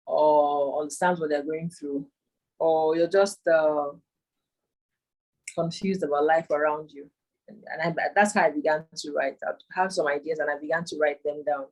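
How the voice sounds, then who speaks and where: Nigerian woman with a lower voice, reminiscing. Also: fairly even intonation, measured pace, pauses often, rough recording. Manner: thoughtful, searching for words with frequent ums. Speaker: interviewee, performance poet and author. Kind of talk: remote interview, casual reminiscing. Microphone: video call.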